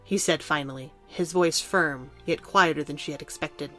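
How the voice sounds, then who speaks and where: A woman's voice: deep voice, moderate pace, sharp tone, faint music behind. A woman narrator reading scripted narration for a fantasy audio drama.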